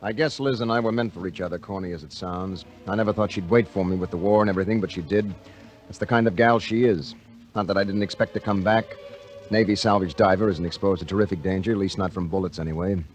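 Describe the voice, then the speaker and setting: An actor playing an easygoing young navy veteran diver with an American accent, breezy and colloquial, in old radio drama style. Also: male voice, low voice, fairly even intonation, quick pace, faint music behind, close to an everyday voice. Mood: wistful, breezy. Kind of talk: 1950s radio acting, first-person narration. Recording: vintage 1950 radio recording.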